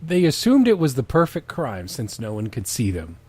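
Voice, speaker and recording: Male co-host and reader, medium pitch, very varied intonation, wry storytelling with casual sweary commentary. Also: huge pitch swings, moderate pace, crowd noise. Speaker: co-host reading fan fiction aloud.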